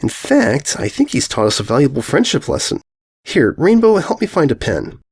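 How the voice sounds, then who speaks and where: A man's voice: fairly high pitch, very animated, moderate pace, creaky, darkish tone, breathy edge, pitched above the performer's own voice, full character voice. Man voicing a prim, bookish pony princess. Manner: hesitant, prim. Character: polite, bookish, flustered young unicorn princess pony. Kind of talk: fan fiction story reading.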